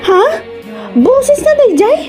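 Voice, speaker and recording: A woman with an Ilocano accent playing an excitable, complaining village wife in a comedy radio drama, dramatic and exclamatory. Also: extremely high pitch, slow pace, soft background music, heavily acted. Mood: amused gossip.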